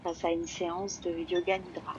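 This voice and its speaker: Woman guiding relaxation over loud ocean waves. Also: lowish voice, dull audio. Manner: slow, unhurried, long pauses between phrases. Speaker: yoga nidra teacher. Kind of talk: guided relaxation meditation. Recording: loud steady ocean-wave noise behind voice. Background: sound of sea waves.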